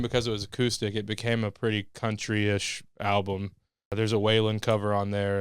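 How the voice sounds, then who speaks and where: Low, flat-voiced laid-back man. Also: clear, resonant tone, no room sound, clean studio sound. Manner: laid-back, matter-of-fact storytelling. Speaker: band member. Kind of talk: casual interview chat.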